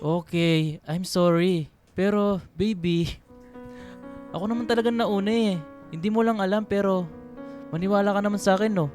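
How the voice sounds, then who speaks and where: A woman's voice: mid-range voice, normal speed, long pauses, darkish tone, lightly acted. A woman playing an earnest, placating young boyfriend in a radio drama, with a playful Filipino-accented delivery. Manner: pleading, playful. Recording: music bed under voice.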